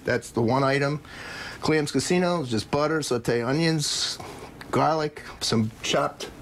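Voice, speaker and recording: A man's voice: a little high, unhurried. A middle-aged man, a guest chef, explaining each step in a plain, practical way during a cooking demonstration in a TV studio kitchen, on a lapel mic. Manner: practical, plain-spoken, explains steps as he cooks.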